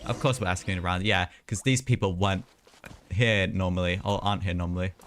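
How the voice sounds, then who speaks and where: Lower-pitched young man, casual game streamer. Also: steady tone, a little fast, fairly bright tone, a little hiss or hum. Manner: chatty, offhand, joking. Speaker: game livestreamer. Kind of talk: casual gameplay commentary. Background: game music and dialogue.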